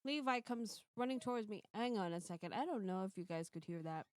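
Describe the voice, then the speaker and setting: A woman's voice: mid-range voice, expressive, brisk. A woman streamer reading a visual novel's first-person narration dramatically, playfully breaking off for casual asides.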